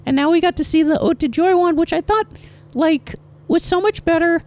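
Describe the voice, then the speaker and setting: A man voicing an excitable young termite child in improvised podcast comedy. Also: very high-pitched, normal speed, voice pushed up. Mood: excited, indignant. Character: excitable squeaky child termite, two days old.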